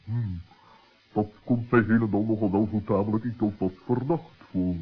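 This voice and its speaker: A learned forest owl , acted by a man, husky and self-important, with a cautious, long-winded way of putting things. It sounds like a children's radio play on a dull, lo-fi recording. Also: on the low side, steady tone, normal speed, soft background music. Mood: suspicious. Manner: pompous, wordy, ponderous.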